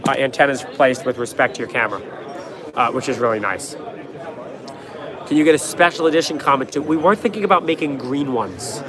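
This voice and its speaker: A young man with a fairly high voice that is worn and hoarse from a cold, talking into a phone held at arm's length. He answers viewers in a quick, offhand, joking way. Loud crowd chatter from a packed convention hall fills the background. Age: young adult. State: losing his voice from a cold. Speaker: livestream host answering chat. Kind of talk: casual livestream chat. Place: busy trade show floor, indoors.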